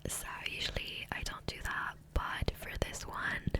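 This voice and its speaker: A woman whispering softly and breathily in a casual, rambling ASMR style, gentle and unhurried, close to the microphone. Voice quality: soft breathy unvoiced whisper. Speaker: ASMR gaming content creator and host. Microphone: close USB condenser microphone.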